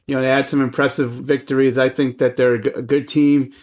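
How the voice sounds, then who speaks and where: A man's voice: medium pitch, little pitch movement, fast, darkish tone. A man in his forties co-hosting a podcast, talking sport in an easygoing way, full of fillers. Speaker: podcast co-host. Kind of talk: casual sports chat.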